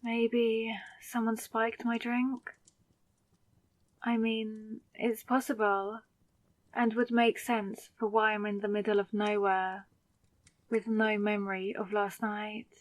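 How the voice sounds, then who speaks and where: A bewildered, sarcastic young woman who has just become a vampire, played by a voice actress, in a dramatic audio roleplay. Her voice sits a little high and she talks slowly, muttering to herself in exasperation. The recording is close and dry. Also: little pitch movement, dry recording. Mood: groggy, bewildered. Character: confused hungover young woman newly turned vampire, wary and sarcastic.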